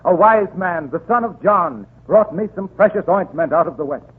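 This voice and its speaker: High-voiced, brash Roman suitor. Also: male voice, animated, normal speed, husky, exaggerated voice. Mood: brash, wooing. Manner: declamatory salesman's patter. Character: brash, wooing ancient Roman man turned eager pitchman. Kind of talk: 1930s radio comedy sketch acting. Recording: tinny, noisy 1939 radio recording.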